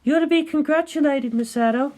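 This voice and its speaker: Woman as a high, composed female scientist. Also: a little mellow, close to an everyday voice. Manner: measured, formal, sceptical.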